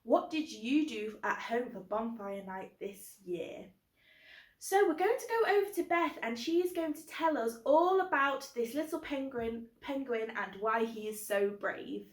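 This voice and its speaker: British lady presenting for little kids, highish voice, echoey room. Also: lively intonation, a little slow. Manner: simple, direct address to young viewers. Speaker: host of a children's book club. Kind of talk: children's presenting.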